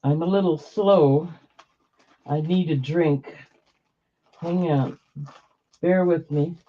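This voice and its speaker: Hoarse, slow-talking woman auctioneer. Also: low voice. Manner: unhurried, chatty, stops to cough. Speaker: online auctioneer. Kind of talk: live-stream auction selling. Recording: dull lo-fi live-stream audio.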